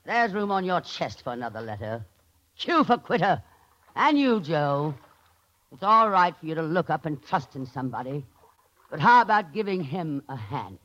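Brash, sharp-voiced society woman. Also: on the low side, exaggerated voice. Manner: theatrical, biting, wisecracking. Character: brash, sardonic, glamorous society woman adrift at sea. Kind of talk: old-time radio drama acting. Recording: dull, lo-fi old radio recording.

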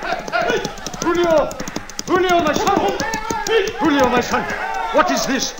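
An aging rancher with a very high, swooping voice. He is impassioned and exclamatory, in old 1940s radio drama style. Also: animated, unhurried, very noisy. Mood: alarmed, commanding. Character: excitable aging Spanish rancher, Don Jose Verdugo. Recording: 1940s radio broadcast recording.

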